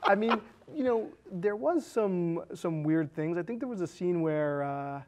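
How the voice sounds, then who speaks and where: A man in his thirties casually discussing a film, halting and repeating words as he thinks aloud. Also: high-pitched, lively intonation, a little mellow. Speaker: film discussion show co-host. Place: small indoor studio set with curtain.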